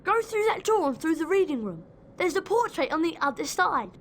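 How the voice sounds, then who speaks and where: A woman's voice: very high-pitched, sing-song, fairly quick, fairly bright tone, a little hiss or hum, full character voice. A woman voicing a cheeky young English schoolboy in a video game. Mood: eager.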